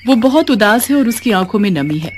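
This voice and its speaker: A woman narrating a scripted moral story with expressive storytelling. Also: a little high, brisk, a little hiss or hum.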